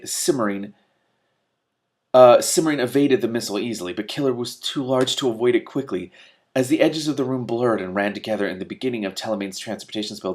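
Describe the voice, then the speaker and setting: A man reading a novel aloud as host, expressive and reactive, stumbling over words now and then and breaking in with sweary asides. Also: medium pitch. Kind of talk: expressive audiobook-style reading with excited asides.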